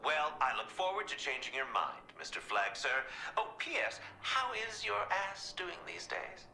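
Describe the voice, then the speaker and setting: A manic clown villain for a video game, with a piercing, bright delivery that swoops wildly from shrill to low. He is theatrical and taunting, flirting as he mocks, in a roomy, echoing space. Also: very animated, unhurried, gravelly, a little hiss or hum, full character voice. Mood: mock-polite, flirty. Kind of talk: video game cutscene acting.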